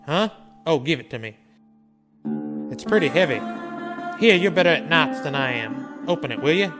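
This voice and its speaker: An actor playing a steady frontier town marshal, commanding but gentle, in a Western radio drama. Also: male voice, a little high, normal speed, over music, lightly acted. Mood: steady, reassuring.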